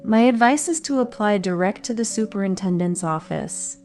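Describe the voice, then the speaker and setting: A swallowed man calling out from inside a crocodile, as a woman reading puts him on, firm and composed. He speaks up with calm aplomb. Faint music plays under this storybook reading. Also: medium pitch, moderate pace, lightly acted.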